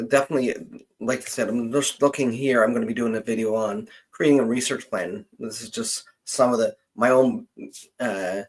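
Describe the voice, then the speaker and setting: A man hosting a casual live genealogy session, explaining things in a halting, hesitant way and often trailing off mid-sentence. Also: lowish voice, breathy edge, low-quality recording.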